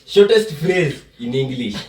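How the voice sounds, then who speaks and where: A man's voice: on the high side, lively intonation, measured pace, darkish tone, distant mic, slight background noise. A Kenyan man co-hosting a podcast, laughing and explaining his points in casual banter. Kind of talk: casual banter switching between Swahili and English. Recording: echoey room, away from the mic.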